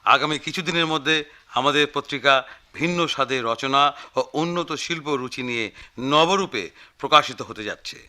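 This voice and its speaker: An actor playing a fussy, effusive newspaper office manager in a radio drama, with repetitive, hesitant speech. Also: male voice, medium pitch, normal speed, bright, forceful tone. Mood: grand, persuasive. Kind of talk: Bengali radio drama acting.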